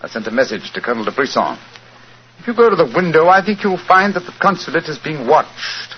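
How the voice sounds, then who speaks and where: A shrewd British gentleman detective, formal and coolly authoritative. His delivery is precise but richly inflected, in vintage radio-drama style. The sound is muffled with faint hiss. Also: a little high, big pitch swings, brisk. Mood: calm, explanatory. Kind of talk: 1940s radio acting. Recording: muffled, hissy 1940s radio recording.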